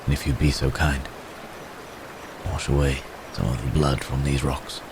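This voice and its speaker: Very deep, troubled wounded werewolf man, acted. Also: steady tone, noisy background. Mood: relieved, grateful. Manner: halting, formal old-fashioned phrasing, sighing. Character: gentle, troubled wounded man cursed as a werebeast. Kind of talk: ASMR roleplay acting.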